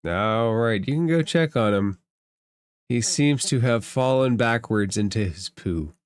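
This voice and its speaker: A man acts as game master for a tabletop roleplaying session. His voice sits at a middle pitch and sounds dry, with no room around it. He improvises in an informal, conversational way. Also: animated, a little slow, clear, resonant tone, no echo.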